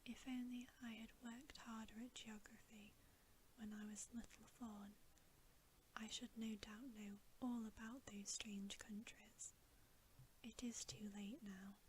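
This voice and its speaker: A woman's voice: a little high, level tone, slow pace, slight background noise. A woman voicing a polite, flustered, slightly melancholy male fawn from a storybook, whispered with formal, hesitant courtesy. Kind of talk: whispered ASMR storybook reading.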